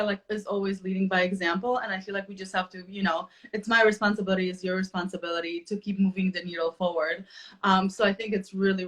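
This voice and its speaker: A woman podcast host, from Poland and raised in the US, chats with her guest at a middling pitch. She keeps it informal and enthusiastic, asking questions in a loose conversational style. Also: fairly bright tone. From born in Poland, grew up in the US. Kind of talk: casual conversational interview.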